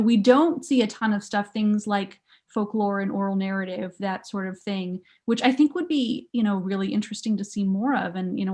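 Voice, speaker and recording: A woman's voice: medium pitch, a little mellow. A woman researcher explaining her ideas in an academic talk, emphatic and thinking aloud as she goes. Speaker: academic researcher, panel presenter. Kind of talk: academic presentation and question answering.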